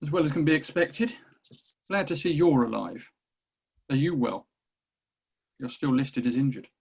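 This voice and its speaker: Casting: man as stern superior officer for screen drama, rough gravelly voice, formal and knowing, lo-fi recording. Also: mid-range voice, expressive, a little mellow. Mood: coolly formal. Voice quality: gravelly, raspy. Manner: clipped, formal, deliberate. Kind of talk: screenplay table read.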